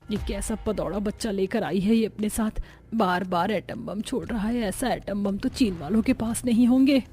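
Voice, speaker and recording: A woman voicing harried, quarrelsome young daughters-in-law in a cartoon, complaining with a sharp tongue. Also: mid-range voice, moderate pace, faint music behind, natural voice. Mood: resentful muttering. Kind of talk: scripted cartoon dialogue.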